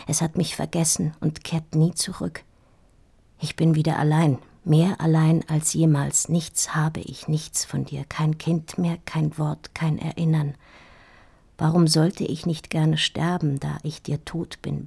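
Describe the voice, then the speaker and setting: An actress playing a heartbroken, dying woman in an intimate radio drama monologue, speaking in halting, feverish, anguished whispers with repeated words. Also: low voice, vocal fry, mellow, slightly rough, full character voice. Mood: anguished, forsaken. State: feverish, weak, grieving. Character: heartbroken dying woman writing a farewell love letter.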